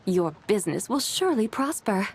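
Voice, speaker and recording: A woman voicing a calm, dignified, literal-minded scholarly woman in a video game, speaking in a formal, measured, matter-of-fact way. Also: medium pitch, slight background noise. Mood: composed, courteous.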